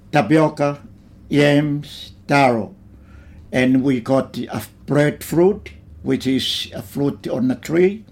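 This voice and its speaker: An older man from Tonga, recalling his childhood in a slow, plain way during an oral history interview. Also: a little high, darkish tone. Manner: slow, plain reminiscing with simple phrasing.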